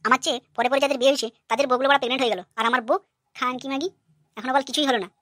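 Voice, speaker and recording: A brash, hot-tempered young son-in-law in a cartoon comedy, played by a woman, rants at breakneck speed. The voice is fairly high and very dry, full of grievance. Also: steady tone, very fast, fairly bright tone, dry recording. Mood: angry, ranting. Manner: brash, ranting.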